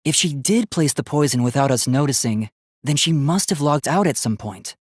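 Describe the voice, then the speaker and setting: A man's voice: medium pitch, expressive, normal speed, slightly rough, no room sound, studio quality. A man voicing an earnest, polite young robot student in a video game, with formal, precise, logical speech that gets easily flustered. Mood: calm, pondering.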